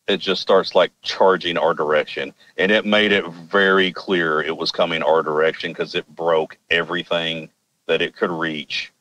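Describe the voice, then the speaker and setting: A man's voice: low voice, sharp tone, rough recording. A man from Arkansas with an American accent, casually telling a personal story in an informal, rambling, wry way. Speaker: podcast guest telling personal experiences.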